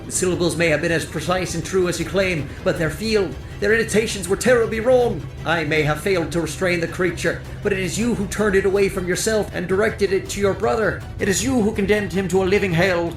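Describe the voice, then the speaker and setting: A man voicing a cold, contemptuous sorcerer in an audiobook, lecturing in a precise, forceful sneer. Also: high voice, brisk, hardly any pauses, reverberant, soft background music, voice pushed up, low-quality recording. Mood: accusing. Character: tall, contemptuous foreign male sorcerer, cold and merciless. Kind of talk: dramatic audiobook character voice.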